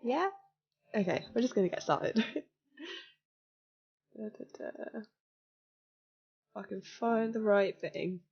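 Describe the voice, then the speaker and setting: A young woman in her twenties, slightly croaky, chatting casually and giggling as she walks viewers through a hacking exercise on a live stream. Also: mid-range voice, takes it slowly, long pauses, a little mellow, highs cut off. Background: faint music.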